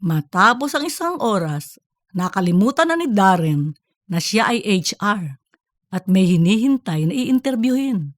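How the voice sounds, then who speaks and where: A woman's voice: medium pitch, very animated, a little slow, no room sound. A woman reading scripted narration for a comedic fiction story. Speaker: audiobook narrator.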